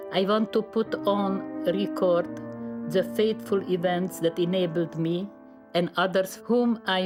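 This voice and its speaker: A woman in her nineties with a Slovak accent gives personal testimony. Her voice is slightly low and her pace is very slow, with measured, halting phrasing. Music plays clearly behind her. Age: 90s. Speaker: Holocaust survivor and memoirist. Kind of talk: personal testimony, partly read.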